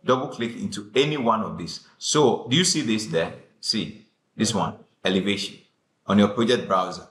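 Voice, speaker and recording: A man teaching a classroom software tutorial, giving direct instructions and repeating short commands. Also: normal speed, recorded from a distance. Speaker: design instructor. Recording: echoey room with faint rumble.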